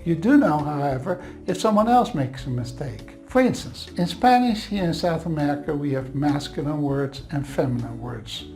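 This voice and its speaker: A man's voice: on the high side, animated, normal speed, few pauses, a little mellow, faint music behind. Dutch-accented man teaching Bible grammar. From Netherlands. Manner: earnest, explanatory, reassuring asides.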